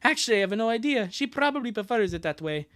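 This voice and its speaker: A man voicing a heartbroken young cartoon boy who blames himself, halting and pleading, in a live fanfiction dub. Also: sits high, lively intonation, fairly bright tone, voice pushed up. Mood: guilty, dejected.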